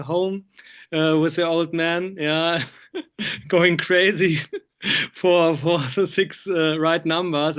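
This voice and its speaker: German guy doing a film interview, slightly high clean voice, feels his way through english. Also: smooth. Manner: halting, hesitant non-native English. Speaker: interviewer, film critic and magazine publisher.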